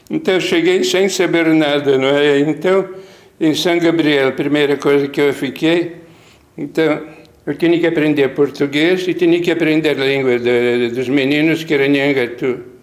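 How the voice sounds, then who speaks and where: An older man speaking with a Lithuanian accent, his voice sitting a little high for a man. He remembers in a halting, rambling way, breaking off and starting his sentences over. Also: steady tone. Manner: halting, rambling with many restarts. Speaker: missionary priest. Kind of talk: interview recollection.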